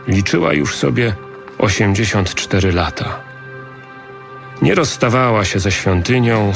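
A man narrates scripture with a solemn, measured delivery. His voice sits fairly low, he takes his time, and music plays clearly behind him.

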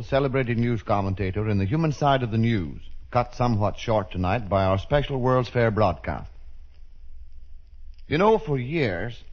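Animated male vintage radio announcer. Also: medium pitch. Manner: measured, polished salesmanship. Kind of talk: 1930s radio advertising read. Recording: dull 1930s radio recording.

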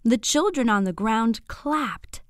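Lady reading a kids bedtime story, takes it really slow, very up and down expressive voice. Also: fairly high pitch, no echo, clean studio sound. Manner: expressive, deliberate, clearly phrased delivery for young children. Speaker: children's storyteller. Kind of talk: scripted bedtime story read-aloud.

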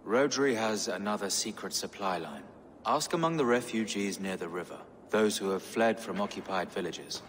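A man voicing a pious bishop, lowish voice, weary, long reverb, game cutscene. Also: animated, normal speed, slight background noise, lightly acted. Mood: informative. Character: worried portly medieval bishop, kindly ally. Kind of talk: video game cutscene acting.

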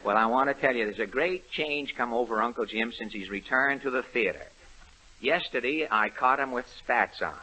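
A man's voice: mid-range voice, moderate pace, sharp tone, slightly rough, poor audio. A man hosting a 1930s radio amateur contest, wry and joking, stumbling over many uh's on an old broadcast recording. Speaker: radio show host.

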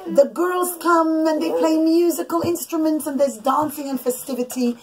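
A middle-aged woman, a mother telling her children a bedtime story in an expressive, emphatic, instructive way, in a bedroom. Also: high voice, normal speed. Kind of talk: animated storytelling with asides to children. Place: small children's bedroom, indoors. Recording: clicky home recording with background noise.

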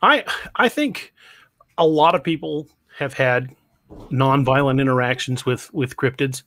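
A man's voice: mid-range voice, unhurried, fairly bright tone. Stammering man hosting a podcast. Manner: stammering restarts, chatty and joking. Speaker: podcast host. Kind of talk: casual livestream chat. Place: home room.

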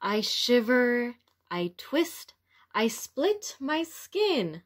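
A cheerful little storybook caterpillar as read by a woman. The delivery is slow and very expressive, with lively swings in pitch and simple wording for small children. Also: exaggerated voice. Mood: slow, wondering. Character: cheerful young caterpillar becoming a butterfly, storybook narrator.

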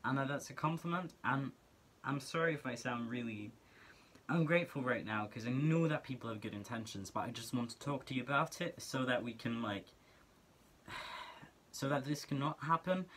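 Bright-voiced Norwegian teenage guy. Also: mid-range voice, animated, normal speed. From Norway. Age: teens. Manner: earnest, chatty, many filler words. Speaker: vlogger. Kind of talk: casual talk to camera. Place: small bedroom indoors.

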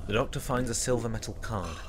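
A man's voice: mid-range voice, quick pace, a little hiss or hum. A man giving scripted narration for an audio drama, describing the action. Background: music.

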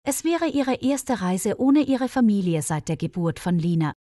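A woman's voice: mid-range voice, big pitch swings, normal speed, mellow, dry recording. Female narrator, mid-range voice, carefully enunciated story reading for learners, dry studio-like sound. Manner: carefully enunciated, read-aloud delivery.

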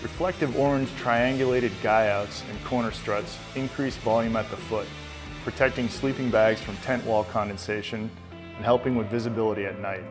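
A man with a somewhat low voice gives a smooth, salesy, scripted read of a product ad. Clear background music runs under his voice-over. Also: fairly even intonation, moderate pace. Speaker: ad narrator. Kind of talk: scripted promotional narration.

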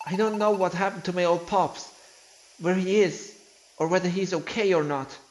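Man voicing an earnest young creature, high-pitched. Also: fairly even intonation, slight background noise, natural voice, rough recording. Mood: scared, halting. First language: not English. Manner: halting, emotional, stammering. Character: earnest young male fire-lizard creature, worried but determined. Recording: game music behind the voice.

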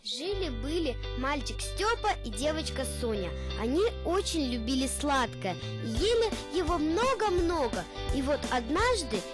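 High, animated female storyteller over music. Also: normal speed, hardly any pauses. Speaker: cartoon narrator. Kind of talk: animated children's storytelling narration. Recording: loud children's music under the voice.

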